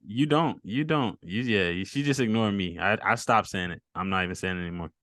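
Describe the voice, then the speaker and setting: A deep-voiced man hosts a relaxed interview over a video call. He talks with upbeat encouragement and easy slang. The recording is dry, with no room sound. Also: fairly quick, dry recording. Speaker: host.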